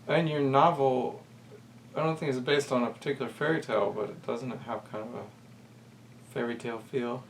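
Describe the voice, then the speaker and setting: Creaky-voiced man in casual conversation. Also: medium pitch, steady tone, brisk. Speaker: podcast co-host. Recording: distant mic, faint background noise.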